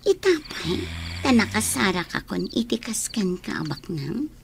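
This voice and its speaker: A woman acting an ambitious, scheming, insistent young woman in Ilocano radio drama, with an Ilocano accent and a drawn-out emotional delivery. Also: medium pitch, big pitch swings, vocal fry, background noise.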